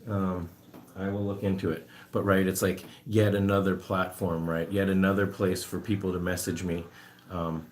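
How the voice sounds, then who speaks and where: A man's voice: low voice, little pitch movement, moderate pace, slight background noise. A man in his forties chatting casually to live-stream viewers at home, rambling and self-amused while he does chores around his guinea pigs. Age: 40s. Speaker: animal rescuer streaming to viewers.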